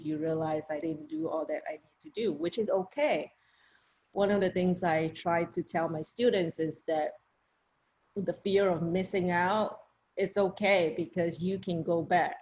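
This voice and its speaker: A woman from Malaysia, a university program director, talking in a conversational, measured way in a podcast interview. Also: fairly low pitch, a little mellow, lo-fi sound.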